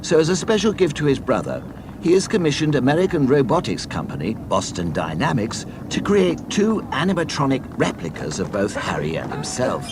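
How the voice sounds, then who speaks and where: A man narrating a scripted read-along storybook in a deliberate, deadpan way. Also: mid-range voice, noisy background.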